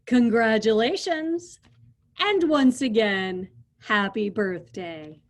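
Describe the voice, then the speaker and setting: A woman's voice: on the high side, slow pace. A woman doing a polite, patient dinosaur. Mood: calm polite. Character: big, gentle, polite male dinosaur. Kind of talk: storybook read-aloud.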